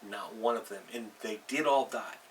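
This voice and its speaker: A middle-aged man hosting an unscripted advice vlog as a game master, speaking haltingly and leaning hard on stressed words. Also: lowish voice. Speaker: host, tabletop game master. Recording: distant mic with faint background noise.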